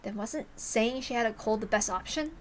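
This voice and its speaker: A woman narrating a visual novel aloud in an expressive style, with casual asides. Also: a little high, normal speed, slight background noise.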